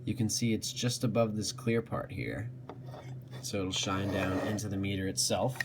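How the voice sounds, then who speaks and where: Low-pitched man narrating a hands-on how-to. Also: moderate pace. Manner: plainspoken, explaining each step as he works. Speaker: DIY tutorial host. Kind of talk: casual step-by-step how-to narration. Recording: clearly noisy hobby video with clicks.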